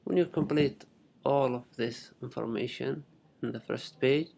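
A young man from Saudi Arabia with a Saudi Arabic accent, a native Arabic speaker, giving a halting, unscripted step-by-step screen tutorial in simple phrases. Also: mid-range voice, vocal fry, darkish tone. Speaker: international student giving a tutorial. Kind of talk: unscripted screen-recording walkthrough.

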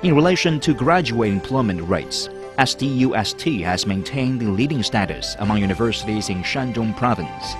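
A man reading a formal, measured promotional narration as a voice-over. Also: fairly low pitch, animated. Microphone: studio voice-over. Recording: voice-over over loud music. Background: music.

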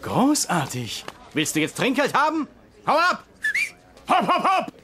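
An actor playing a gruff, bullying man with a biting, sarcastic manner in a German-dubbed TV comedy. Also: very high-pitched, huge pitch swings, a little slow, sharp tone, heavily acted. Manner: biting, bossy.

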